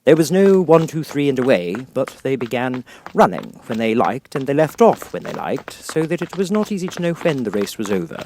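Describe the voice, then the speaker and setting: A man's voice: medium pitch, big pitch swings, moderate pace, hardly any pauses, darkish tone, slight background noise. A man reading scripted storybook narration as an audiobook narrator.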